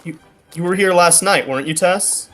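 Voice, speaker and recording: A man's voice: high voice, moderate pace, fairly bright tone, soft background music. A man playing an easygoing detective hero in a 1940s-style radio play.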